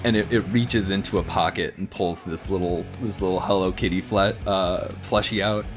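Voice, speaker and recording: A man improvising a story as a tabletop player, casual and halting, often repeating himself. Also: deep voice, steady tone, music in the background.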